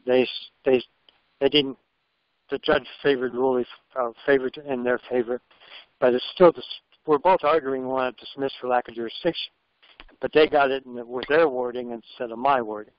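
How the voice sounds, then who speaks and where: Male call participant, medium pitch, casual and conversational, heard on dry, muffled phone conference audio. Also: steady tone, moderate pace, dry recording. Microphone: phone conference line.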